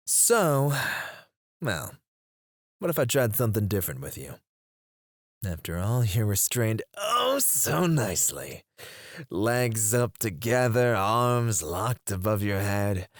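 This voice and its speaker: Low-voiced, taunting male kidnapper, acted by a man. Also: no echo, clean studio sound, full character voice. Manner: taunting, drawling, dropping into hushed murmurs. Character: menacing, teasing male kidnapper interrogating a captive. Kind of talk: ASMR roleplay acting. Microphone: close studio microphone.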